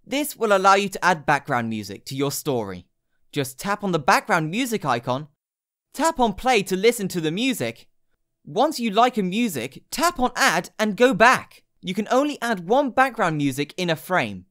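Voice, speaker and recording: A woman reading a scripted step-by-step tutorial in an instructional manner, giving short, clear directions. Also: medium pitch, very animated, moderate pace, a bit bright. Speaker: tutorial narrator.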